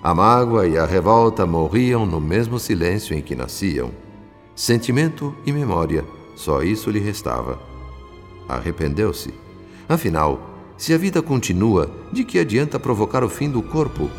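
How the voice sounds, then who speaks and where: Compassionate spirit mentor, deep voice, solemn formal narration, radio drama, reverberant, music underneath. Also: male voice, big pitch swings. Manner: solemn, measured, formal diction.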